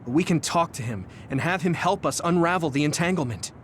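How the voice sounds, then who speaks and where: An actor playing an earnest, thoughtful young squad member in an anime-style video game. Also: male voice, on the high side, expressive, brisk, a little hiss or hum, close to an everyday voice.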